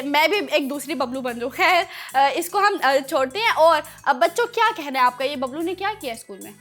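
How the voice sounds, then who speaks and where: A woman hosting a children's show, chatting in an animated way with playful scolding for her young audience. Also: sits high.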